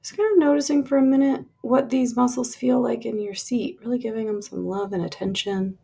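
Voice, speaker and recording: A woman leading a guided relaxation meditation with soft, lingering instructions that trail off into breathy releases, in a husky, creaky voice. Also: a little high, fairly even intonation, a little fast.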